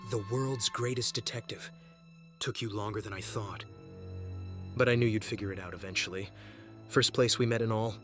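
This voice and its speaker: A man's voice: on the low side, fairly even intonation, normal speed, pauses often, over music, lightly acted. A man playing a bitter, sardonic young vigilante in a superhero comic dub, taunting as an estranged former sidekick. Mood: sardonic, calm. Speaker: voice actor in a fan comic dub.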